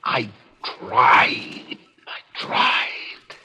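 A man's voice: drawn-out words, heavily acted. An actor playing an anguished, guilt-ridden man, narrating his crime with dramatic intensity in a 1940s radio mystery. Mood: bleak despair. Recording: old radio broadcast, loud background music.

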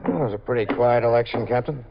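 A man's voice: mid-range voice, quick pace, vocal fry, background noise, lightly acted. A man with an American accent playing a tired, wry detective lieutenant with an easygoing manner in a 1950s radio drama. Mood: tired, polite. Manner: easygoing, anecdotal, deferential. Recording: muffled 1950s radio recording.

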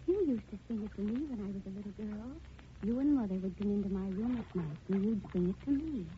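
An actress playing a determined, wronged young daughter in earnest, dramatic 1940s radio style. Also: female voice, medium pitch, fast talker, close to an everyday voice. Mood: eerie, accusing. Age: young adult. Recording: muffled, noisy 1940s radio recording.

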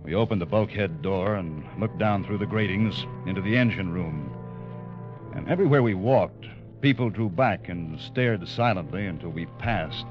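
A man's voice: low voice, muffled recording. An actor playing a dutiful, uneasy first officer who narrates his own story in an old radio drama. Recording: band-limited vintage radio broadcast.

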